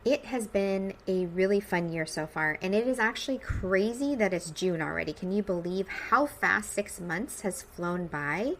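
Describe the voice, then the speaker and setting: A woman's voice: mid-range voice, animated, moderate pace, few pauses, slight background noise, rough recording. An American woman in her forties hosting a personal podcast, speaking informally with upbeat emphasis. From United States, suburban Midwest. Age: 40s. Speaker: podcast host.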